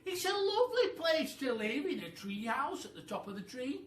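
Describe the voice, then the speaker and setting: A man voicing an excitable, anxious monkey father in a children's story, exclaiming and questioning. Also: very high-pitched, normal speed, pitched above the performer's own voice, heavily acted. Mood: curious, worried. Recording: roomy, noisy, distant microphone.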